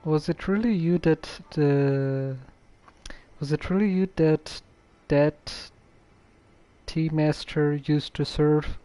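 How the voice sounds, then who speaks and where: A woman streamer giving casual, halting, deliberate commentary on a game. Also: low-pitched, warm tone, dry recording.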